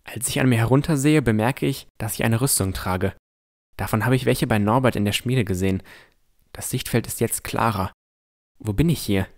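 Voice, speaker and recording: A man's voice: medium pitch, normal speed, darkish tone. A man reading scripted first-person narration as the narrator of a fantasy audio drama.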